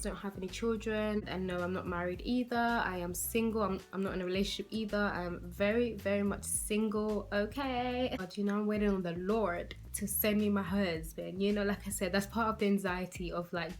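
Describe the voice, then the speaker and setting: Chatty young London woman. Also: medium pitch. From London, England. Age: 20s. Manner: rambling, lots of "like" and "you know". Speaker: beauty vlogger. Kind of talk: casual chatty get-to-know-me talk.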